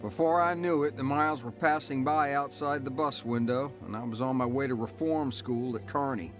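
A man's voice: medium pitch, steady tone, normal speed, close to an everyday voice. Man acting a reflective narrator over music. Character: reflective adult man recounting his troubled youth. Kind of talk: scripted first-person drama narration.

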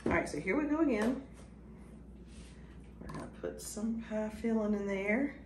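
A middle-aged woman casually talking through a cooking demonstration in her home kitchen, unhurried and unsure of herself in little asides. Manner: unhurried, self-doubting asides, lively pitch. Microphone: far camera. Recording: echoey kitchen, camera far off, background noise.

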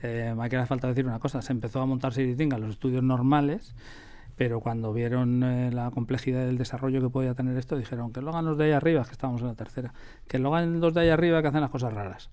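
Middle aged castilian spanish guy chatting in interview, flat delivery, dark mellow voice. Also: medium pitch, very fast. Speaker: radio drama director, interview guest. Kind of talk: conversational storytelling. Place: radio studio.

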